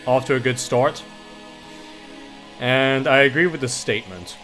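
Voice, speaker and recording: Male gamer, mid-to-high pitch, casual offhand commentary with some swearing, game music underneath. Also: a little slow, a bit bright, poor audio. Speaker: video game streamer and commentator. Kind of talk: casual let's play commentary, reading game dialogue aloud.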